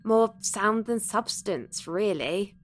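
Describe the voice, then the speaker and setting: A woman playing an eager, flustered, soft-hearted ghost in a conversational audio drama. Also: mid-range voice, lively intonation, takes it slowly, soft background music. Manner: hesitant, conversational, easily sidetracked.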